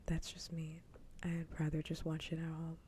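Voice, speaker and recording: A woman streamer chatting casually and with opinions in a soft, breathy whisper, close to an ASMR microphone. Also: sits low, completely flat delivery, moderate pace, a little hiss or hum.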